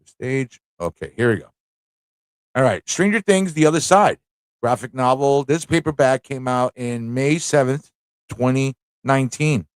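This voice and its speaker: Male live stream host, mid-pitched, informal and easygoing, chatting and reading aloud on a close, dry mic. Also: lively intonation, measured pace, clean tone, no room sound.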